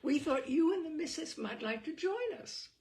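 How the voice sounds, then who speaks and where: A woman's voice: high-pitched, animated, normal speed, gravelly, darkish tone, recorded from a distance, slight background noise, voice pushed up. A woman voicing a wealthy society hostess extending a gracious, gushing phone invitation.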